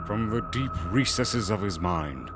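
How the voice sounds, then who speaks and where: A man's voice: fairly low pitch, lively intonation, unhurried. A man narrating a scripted audio fantasy story in a dramatic storytelling style. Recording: music bed under the voice.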